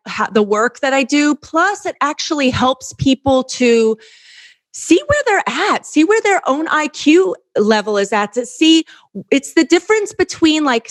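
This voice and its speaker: A woman speaking as a dating coach and interview guest, giving conversational, earnest and emphatic answers that repeat phrases for stress. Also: high-pitched.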